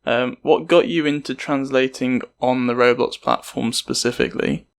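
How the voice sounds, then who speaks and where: Creaky-voiced male podcast host. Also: mid-range voice, steady tone, moderate pace. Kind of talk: conversational interview questions.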